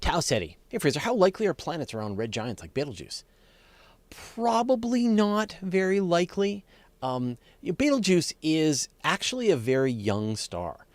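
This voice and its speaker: A man's voice: a little high, big pitch swings, moderate pace, no echo. A man hosting a live question and answer session, explaining things off the cuff in plain examples and stressing key words. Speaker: host of a live astronomy Q&A.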